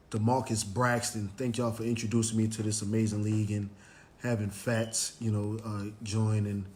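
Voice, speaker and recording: Raspy upbeat man hosting a live stream. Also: on the low side, darkish tone, a little hiss or hum. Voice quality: raspy, hoarse. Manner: upbeat motivational, frequent "um" and "you know". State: hoarse from cheering at a game. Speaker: host of a Facebook Live motivational show. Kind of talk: live-stream talk, greeting viewers by name. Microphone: live stream, phone or webcam.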